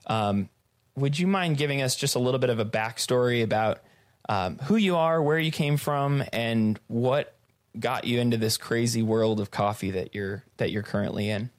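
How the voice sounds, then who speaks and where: A man's voice: mid-range voice, normal speed, no room sound. A man hosts a podcast in an easygoing, slightly hesitant manner. His voice sits in an ordinary middle range. The recording is dry and close. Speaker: podcast host. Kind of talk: casual chat.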